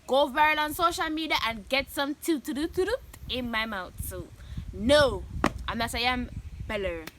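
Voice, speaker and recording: A young Jamaican woman hosting her vlog, chatting animatedly and breaking into loud laughs and shouts. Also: sits high, normal speed, background noise. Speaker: vlogger host. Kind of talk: casual chat answering questions.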